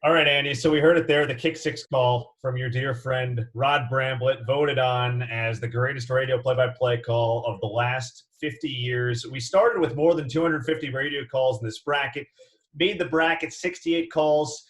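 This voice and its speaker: A man's voice: medium pitch, steady tone, moderate pace. An American man hosting a sports interview with an emphatic, presenterly delivery. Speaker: interview host.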